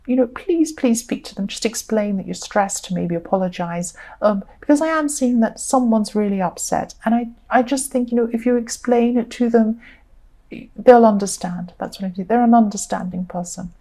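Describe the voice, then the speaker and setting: A woman giving a tarot reading with a slightly croaky voice, talking gently and reassuringly with lots of "you know" fillers. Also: on the high side, normal speed. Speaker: tarot reader.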